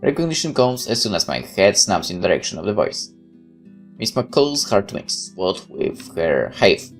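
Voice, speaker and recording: Animated man narrating a game playthrough. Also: on the low side, normal speed, vocal fry. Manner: expressive, chatty. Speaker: gaming YouTuber. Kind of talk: let's play, reading game text aloud with casual commentary. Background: guitar music.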